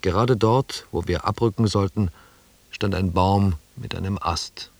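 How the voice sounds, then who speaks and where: Reflective expedition narrator, deep voice, close dry sound, literary radio drama delivery. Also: male voice, a little slow, creaky, darkish tone, no room sound, heavily acted. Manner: reflective, descriptive. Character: reflective male expedition member recalling the jungle.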